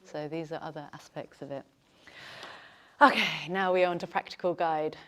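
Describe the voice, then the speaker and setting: Woman yoga teacher, lower voice, chatty, laughs a lot, leading a class. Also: steady tone, normal speed. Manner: chatty, warm-hearted, laughs often.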